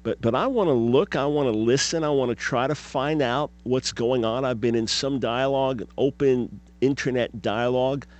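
A man's voice: medium pitch, normal speed, hardly any pauses, a little mellow, lo-fi sound. A man in his fifties hosting a radio talk show, speaking emphatically and asking rhetorical questions. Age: 50s.

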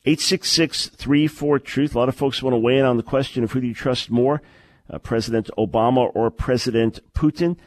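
A man's voice: medium pitch, steady tone, a little fast, no room sound. Earnest male radio talk show host. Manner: earnest, emphatic, repeats words when stressing a point.

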